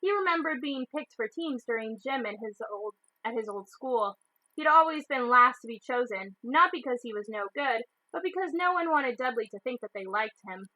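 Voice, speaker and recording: A woman storyteller reading a children's novel aloud in expressive narration. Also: a little high, normal speed, dry recording, low-quality recording.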